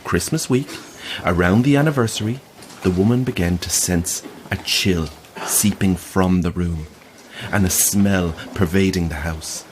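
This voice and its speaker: Deep-voiced, earnest believer in ghosts. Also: male voice, a little slow, a little hiss or hum, poor audio. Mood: solemn storytelling. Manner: formal, apologetic, storyteller's solemn phrasing. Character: earnest, insistent male afterlife-society believer telling ghostly stories. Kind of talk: audio drama acting.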